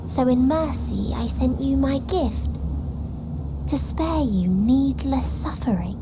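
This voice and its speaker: A woman's voice: high-pitched, lively intonation, takes it slowly, noisy background, heavily acted. A woman voicing a serene, despairing video game villain bent on ending all life, coldly detached and solemn. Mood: serene menace. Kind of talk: scripted video game cutscene acting. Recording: game music under the voice.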